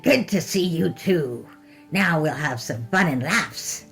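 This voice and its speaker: A woman voicing a clownish male cartoon TV host in a horror story, coaxing with drawn-out exclamations and an eerie cheer. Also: sits low, animated, fairly bright tone, soft background music, heavily acted. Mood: coaxing, cheerful.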